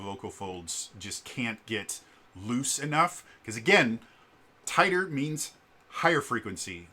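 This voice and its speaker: A man with a very low voice casually explaining singing in a vlog, often halting with fillers and stammered restarts. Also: bright, forceful tone. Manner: shifts to gravelly vocal fry demonstrations.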